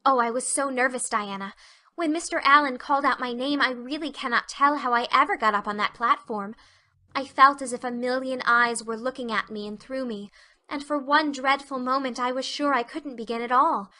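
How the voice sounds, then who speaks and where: A woman's voice: sits high, moderate pace, pushed and bright. A woman voicing a dreamy thirteen-year-old girl, effusive, romantic and theatrical, in an audio drama. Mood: thrilled.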